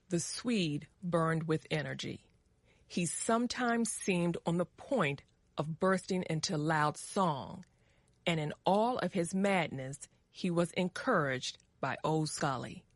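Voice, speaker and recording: A woman narrating a scripted story for English learners, measured and carefully articulated. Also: fairly low pitch, animated, no echo.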